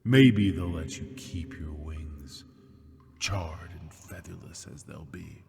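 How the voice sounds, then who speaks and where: A man voicing a smug, cruel imprisoned male demon in an ASMR roleplay, talking in a mocking, breathy half-whisper. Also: low-pitched, a little mellow, long reverb tail, full character voice. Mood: menacing. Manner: mocking, slow and seductive.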